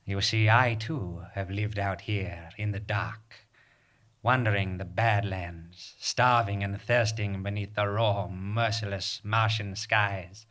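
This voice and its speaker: Forceful, gloating bandit villain. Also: male voice, on the low side, fairly even intonation. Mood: menacing, gloating. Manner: grandiloquent, theatrical, deliberate. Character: menacing male bandit leader, theatrical, cruel and gloating. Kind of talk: comic western radio drama acting, performed live.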